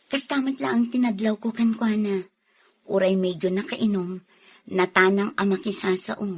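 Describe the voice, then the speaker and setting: A woman with an Ilocano accent playing a young, single, friendly overseas worker in an audio drama, speaking softly and hesitantly. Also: medium pitch, fairly even intonation, normal speed, slightly rough. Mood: modest, friendly. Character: young single Filipina working abroad, Cherry, modest and friendly. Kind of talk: radio drama acting in Ilocano.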